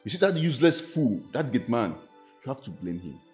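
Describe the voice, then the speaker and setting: A man's voice: medium pitch, big pitch swings, a little fast, darkish tone, soft background music, natural voice. A man playing a scornful, blaming character in a film drama. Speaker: actor.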